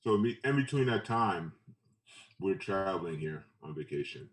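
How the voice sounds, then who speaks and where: Low-voiced man reminiscing in an echoey room. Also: animated, normal speed. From Trinidad and Tobago, later Brooklyn. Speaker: photographer being interviewed. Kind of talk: casual interview, reminiscing. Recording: roomy echo, distant mic.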